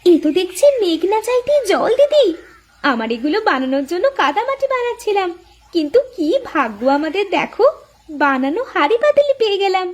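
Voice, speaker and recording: Woman doing an eager, very high-voiced young village wife. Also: sing-song, fairly quick. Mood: excited. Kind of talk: cartoon voice acting.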